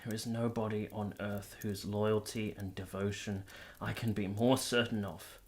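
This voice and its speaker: An actor playing a lovestruck, conflicted nineteenth-century man in a radio drama, speaking in earnest, measured, literary phrases. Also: fairly low pitch, level tone, darkish tone, lightly acted. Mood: tender, hushed. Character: Giorgio, lovestruck, conflicted 19th-century Italian man, confessional narrator. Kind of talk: radio drama narration and intimate dialogue.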